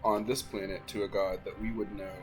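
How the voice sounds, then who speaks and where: Guy at a tabletop game, lowish voice, eager, lots of ums. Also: little pitch movement, a little fast, soft background music. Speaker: player.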